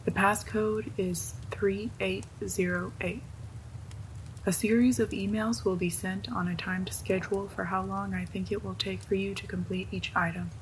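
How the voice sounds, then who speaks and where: A woman's voice: mid-range voice, fairly even intonation, moderate pace, a little hiss or hum, close to an everyday voice, rough recording. A woman playing an affectionate, playful wife reading out her notes to her husband in a narrated story. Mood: fond, playful.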